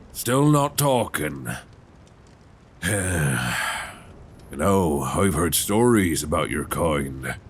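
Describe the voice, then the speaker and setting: A man playing a gentle but probing, folksy 19th-century lighthouse keeper in an intimate ASMR suspense roleplay, soft-spoken, halting and reassuring, close on a binaural mic. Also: heavily acted. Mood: quietly knowing. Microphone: close binaural microphone. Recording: faint low rumbling background noise.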